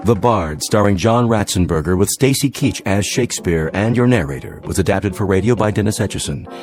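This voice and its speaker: A man with a very deep voice works as announcer. He reads formal scripted credits over clearly audible music. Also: animated, brisk.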